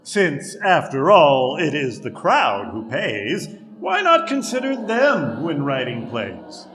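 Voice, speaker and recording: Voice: medium pitch, huge pitch swings, slow, music in the background. A performer playing a wry, declaiming character in a theatrical reading of a stage comedy. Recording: long hall reverb.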